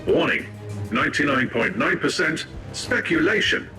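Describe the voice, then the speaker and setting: Pedantic, high-pitched, swooping tech-priest. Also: male voice, very animated, moderate pace, sharp tone, faint music behind. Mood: clinical, reporting. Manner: formal, clinical, clipped data-report phrasing. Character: pedantic male tech-priest, half-machine data analyst. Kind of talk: video game cutscene acting.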